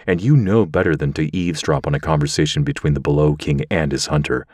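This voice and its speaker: A stern winged hunter played by a man speaks in an extremely deep, dry voice. He is a protective older brother in a fantasy audiobook, firm and brooding. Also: fast, a little mellow, no room sound.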